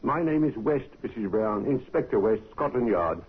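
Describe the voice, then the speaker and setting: An actor playing a brisk, formal police inspector asking clipped questions in a 1950s radio drama. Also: male voice, lowish voice, animated, slightly rough. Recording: tinny old radio broadcast.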